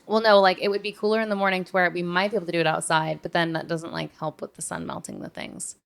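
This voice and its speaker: A woman talks casually as a podcast co-host, her voice sitting slightly on the low side. She is informal and slangy, working through her thoughts out loud in everyday conversational language. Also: fairly even intonation, fast, creaky, clear, resonant tone. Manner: informal, slangy, thinking aloud.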